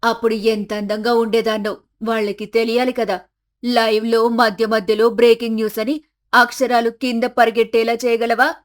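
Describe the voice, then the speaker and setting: A high-voiced, feisty older village matriarch played by a woman for an animated family story. She grumbles and declares her grievances with indignant self-importance. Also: normal speed, close to an everyday voice. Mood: eager, bossy. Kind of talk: animated moral story acting.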